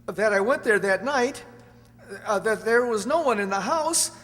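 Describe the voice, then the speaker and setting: A man playing an earnest, anxious young husband in an amateur radio drama, halting and emphatic as he pleads. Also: high voice, animated, fairly bright tone, faint music behind. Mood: shocked, agitated. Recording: echoey hall, faint hum.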